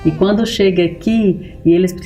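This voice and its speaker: A woman's voice: medium pitch, steady tone, brisk, dark tone, faint music behind. A woman hosting an interview, enthusiastic and prompting her guest to tell his story. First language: Portuguese. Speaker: interviewer, host.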